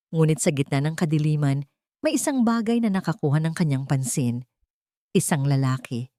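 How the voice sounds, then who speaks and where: A woman with a Filipino accent narrating an audio drama in a measured, suspenseful storytelling style. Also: low voice, dark tone, dry recording, studio quality.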